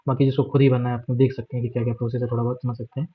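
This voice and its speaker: A man's voice: medium pitch, flat delivery, racing, slightly rough. A man with an Indian accent walking through a job notification on screen, explaining steadily with fillers and English words mixed in. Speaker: exam guide presenter. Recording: dull lo-fi voice recording.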